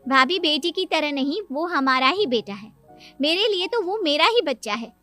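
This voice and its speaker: An eager, devoted young aunt from a cartoon family tale, played by a woman. Her affectionate voice is high and very bright, with earnest delivery. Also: expressive, normal speed, soft background music. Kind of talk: cartoon moral story acting.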